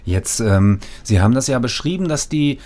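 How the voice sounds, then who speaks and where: A man asks long, meandering questions in a mid-pitched voice. He hesitates often and fills his pauses. He sits at a studio mic. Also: lively intonation, warm tone. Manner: hesitant, long winding questions with many fillers. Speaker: interviewer. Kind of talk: interview questions.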